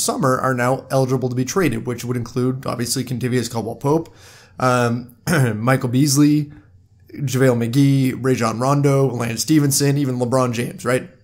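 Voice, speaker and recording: Hoarse man hosting a sports podcast. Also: medium pitch. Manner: conversational, talks straight to listeners. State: hoarse from a cold. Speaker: podcast host. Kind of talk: solo sports podcast monologue.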